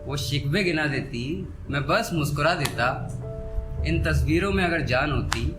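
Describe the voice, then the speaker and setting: A man with a slightly high voice recites poetry as a stage performer. He repeats his couplets and sets them apart with deliberate pauses. Instrumental music plays clearly behind him. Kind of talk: live Hindi-Urdu poetry recital with spoken asides. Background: soft instrumental background music.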